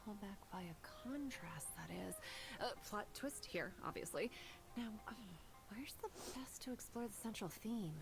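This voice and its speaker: Casting: actress as self-absorbed game writer, medium-pitched, halting muttered delivery, clear music underneath. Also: female voice, animated, close to an everyday voice. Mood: absorbed, pondering. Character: absorbed woman writer muttering plot notes to herself. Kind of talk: video game character acting.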